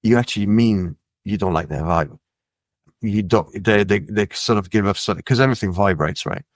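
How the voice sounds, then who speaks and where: A middle-aged man from France, a musician guest in a casual interview, talking in a relaxed, musing way with many fillers. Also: fairly low pitch, normal speed, dry recording. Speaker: musician and label head, guest.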